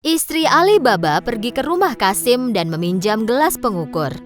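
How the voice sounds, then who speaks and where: A woman's voice: fairly high pitch, sing-song, normal speed, soft background music. A woman narrating a children's storybook tale from a script.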